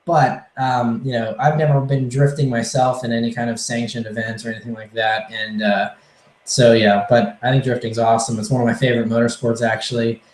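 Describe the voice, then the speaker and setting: A man answering viewer questions on a casual livestream, rambling and relaxed with many fillers, his voice a little hoarse. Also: mid-range voice, a little fast, reverberant, poor audio. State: slightly hoarse, losing his voice.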